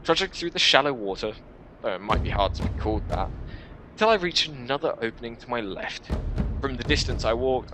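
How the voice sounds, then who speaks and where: A man reading a scripted first-person action story as a dramatic narration for a video game. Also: mid-range voice.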